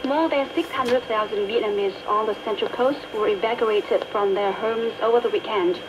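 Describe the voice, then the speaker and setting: A woman's voice: medium pitch, moderate pace, highs cut off. A woman presenting on the radio, reading scripted news, heard through shortwave reception. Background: loud radio static and hiss.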